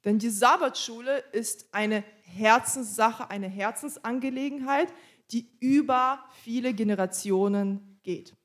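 A woman interpreting a pastor's sermon live in church, quick and casual, with small slips and restarts. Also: on the high side.

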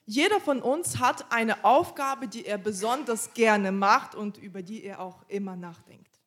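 A woman interpreting a pastor's sermon live in church, quick and casual, with small slips and restarts. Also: medium pitch.

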